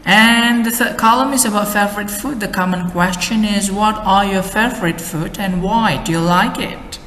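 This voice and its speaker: A man's voice: high-pitched, bright, forceful tone, slight background noise. Man with Indonesian accent, English exam tutor, careful step-by-step explaining, echoey room with long reverb. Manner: slow, careful explaining with many "and then" links. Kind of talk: lesson with sample answers. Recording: echoey room with faint ambience.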